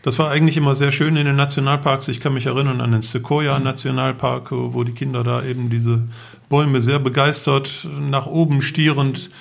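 A man's voice: medium pitch, vocal fry. A middle-aged man casually recalls travels in a conversational storytelling way. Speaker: podcast guest, travelling father.

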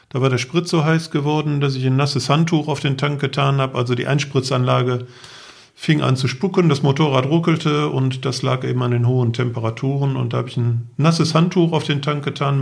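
A middle-aged man with a creaky, mid-pitched voice talks as a podcast guest. He explains things in casual anecdotes, matter-of-fact and detailed. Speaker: podcast guest, motorcycle traveller.

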